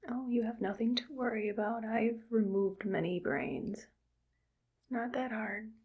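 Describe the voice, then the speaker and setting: A woman voicing a cold, calm evil scientist who harvests brains, whispering softly in a clinical, matter-of-fact way for an ASMR roleplay. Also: on the high side, unhurried, phone-quality sound, lightly acted. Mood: coolly clinical. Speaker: ASMR creator.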